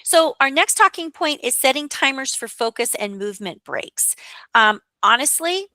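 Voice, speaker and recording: A woman hosting a podcast, drawing out her guests with questions and summing up what they say. Her voice is fairly high and bright, heard close and dry with no room around it. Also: lively intonation, normal speed, dry recording. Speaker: podcast host.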